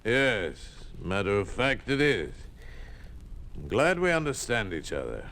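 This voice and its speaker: An actor playing a smug, menacing communist official in a 1950s radio drama, condescending and sardonic. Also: male voice, on the low side, wildly swooping pitch, measured pace, a bit bright, exaggerated voice. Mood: cool menace. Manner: condescending, sardonic, coolly threatening.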